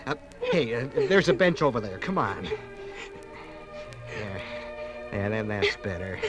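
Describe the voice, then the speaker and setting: An actor playing an awkward, lovestruck young American soldier in a 1950s radio drama, halting and stammering earnestly. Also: male voice, fairly low pitch, big pitch swings, over music, exaggerated voice. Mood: flustered. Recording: 1950s radio production from vinyl record.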